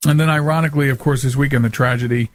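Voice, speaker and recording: A man's voice: mid-range voice, fairly even intonation, rapid-fire, dry recording. A man hosting a radio show, talking casually and informally in a folksy, conversational way as he gives his commentary.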